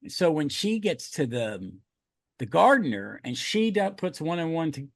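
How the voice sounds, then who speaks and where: Creaky, higher-voiced man hosting a casual podcast. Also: very animated, measured pace, dry recording, clean studio sound. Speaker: podcast host. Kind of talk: casual chat.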